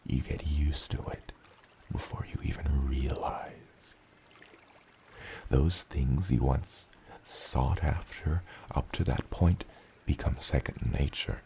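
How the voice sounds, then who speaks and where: A man voicing a gentle, doting father dragon in an ASMR roleplay, murmuring slowly and tenderly and often dropping to a whisper. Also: slight background noise, heavily acted. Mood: reflective, wise. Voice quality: very deep, gravelly. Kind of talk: ASMR roleplay, addressing the listener as his child.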